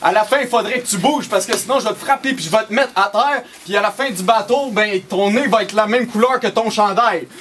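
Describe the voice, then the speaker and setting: Un jeune homme à l'accent québécois balance des rimes crues et provocatrices pendant une battle. Sa voix est haute. Un léger bruit de fond l'accompagne. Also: male voice, high voice, fairly quick, few pauses, fairly bright tone, a little hiss or hum. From Quebec. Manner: crude taunting rap, swearing, loses his lines. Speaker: battle rapper. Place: small indoor shop venue.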